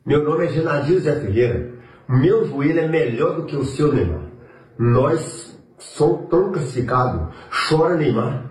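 A Brazilian man with a fairly high voice speaks as a football fan. He delivers a short taunting testimonial in an echoey room. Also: animated, measured pace, a little mellow.

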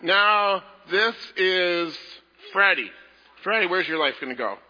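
A man leading a children's message in a church service, talking to the children in a gentle, playful way. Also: high voice, animated, slow. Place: large church sanctuary. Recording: echoey hall, dull lo-fi sound.

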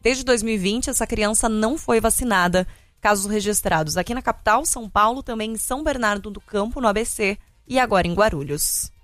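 A woman's voice: medium pitch, a little fast, few pauses. A Brazilian woman presenting live radio news and traffic updates in a conversational, explanatory way. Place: radio studio.

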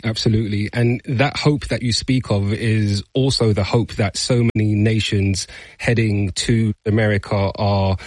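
Husky male radio interviewer. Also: fairly low pitch, fairly even intonation, measured pace, darkish tone, no room sound. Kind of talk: broadcast interview questions and links. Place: radio studio.

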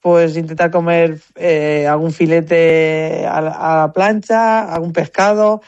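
A woman's voice: deep voice, steady tone, slow pace, a little mellow, clean tone, no echo, dull audio. Chatty Spanish woman in a dry recording. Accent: Spanish from Spain. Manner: chatty, anecdotal, repeats words while thinking. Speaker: competitive shooter, interview guest. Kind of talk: casual conversational interview answers.

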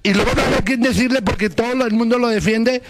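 A man's voice: extremely high pitch, fast talker, heavy background noise. A man, co-hosting a radio show, exasperated and slangy. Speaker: radio co-host.

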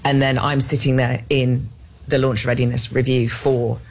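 A woman's voice: rumbling low, flat delivery, moderate pace, vocal fry, a little mellow. An English woman in her fifties, a scientist guest in an interview, talking earnestly with many restarts and fillers. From England. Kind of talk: interview conversation.

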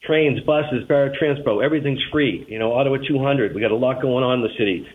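A man's voice: mid-range voice, fast talker. A man with a Boston accent answering questions as a transit official in a radio interview, heard over a narrow, tinny phone line. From Boston, United States. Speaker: transit agency general manager, interview guest.

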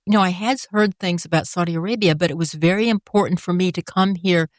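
Middle-aged woman, reflective and unhurried interviewee, dry close mic. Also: on the low side, no room sound. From United States. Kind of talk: personal storytelling.

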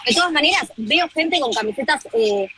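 Bright high-voiced Argentine woman reporting. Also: lively intonation, a little hiss or hum. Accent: Argentine Spanish. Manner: brisk, informative, frequent filler "eh". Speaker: reporter covering the tournament. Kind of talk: live field report.

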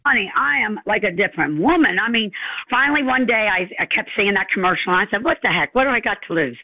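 A woman's voice: medium pitch, animated, fast, no room sound. A woman giving a folksy customer testimonial. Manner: folksy, warm-hearted chatter. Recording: tinny phone line with faint music.

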